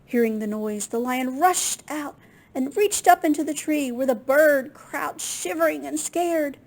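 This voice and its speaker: A woman reading a picture book aloud to children in an expressive, unhurried way, with small asides to her listeners. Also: high voice. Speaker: children's storytime reader at a library.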